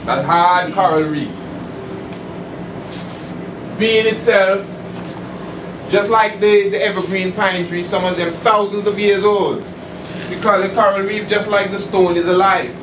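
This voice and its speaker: A man's voice: high voice, steady tone, measured pace, pauses often. A man giving an informal esoteric lecture as a preacher, emphatic and didactic, repeating points and asking rhetorical questions. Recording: distant mic, echoey room, noisy hum.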